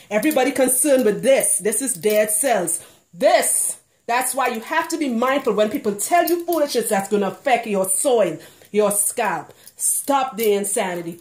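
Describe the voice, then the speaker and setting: An outspoken woman with a Trinidadian accent speaks in a high, bright register. She talks casually to her viewers and laughs easily, and her voice rings around a roomy, echoing space. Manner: animated, outspoken, laughs often. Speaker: loctician and hair care consultant. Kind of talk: casual vlog talk to camera.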